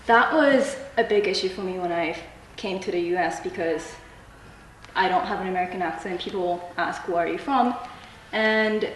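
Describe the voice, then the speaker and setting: A young woman from Japan, a native Japanese speaker, answers with thoughtful, measured phrasing. Her voice sits a bit low. The mic is set back, so the room echoes around her with a faint hiss. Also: far from the mic. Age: young adult. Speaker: student interviewee. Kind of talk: reflective interview answers.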